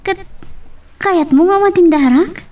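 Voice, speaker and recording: A woman's voice: extremely high pitch, unhurried, a little mellow, distant mic. A woman playing an earnest, friendly single mother talking in an Ilocano drama, with an Ilocano accent. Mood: friendly, chatty. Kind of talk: Ilocano radio-style serial drama acting.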